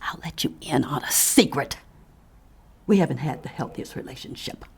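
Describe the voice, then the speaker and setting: An actress playing a defiant, sardonic neighbor in an audio drama, speaking with pointed, indignant emphasis. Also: female voice, rumbling low, normal speed, gravelly, slightly rough, in a whisper, full character voice. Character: defiant, sardonic woman neighbor, standing her ground. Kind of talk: audio fiction drama acting.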